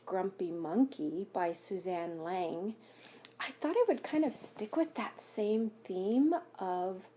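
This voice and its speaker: A woman reading a picture book aloud to children like a school teacher, pausing to explain things and ask questions. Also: medium pitch, warm tone. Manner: instructive, asks listeners questions. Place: home basement by a fire.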